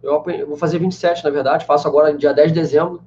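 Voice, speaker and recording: Young man, high-pitched voice, chatty live-stream teacher, muffled webcam sound, small room. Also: steady tone, rapid-fire, darkish tone, slight background noise. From Brazil. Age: 20s. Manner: chatty, many fillers like "né" and "cara". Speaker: language teacher answering live chat. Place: small room indoors with a banner behind. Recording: slightly dull lo-fi webcam sound.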